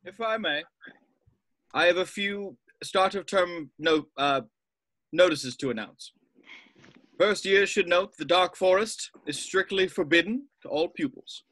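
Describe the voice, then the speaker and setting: A man playing a formal old wizard headmaster, giving measured announcements in a script read. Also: high-pitched, fairly bright tone, no echo, poor audio. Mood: formal, solemn. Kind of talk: table read of a film script.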